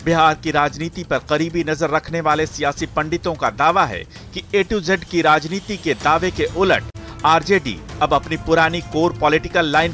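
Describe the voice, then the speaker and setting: A man's voice: a little high, fairly bright tone. A man reading a scripted news report as a voice-over, in a dramatic, insinuating manner. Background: background music.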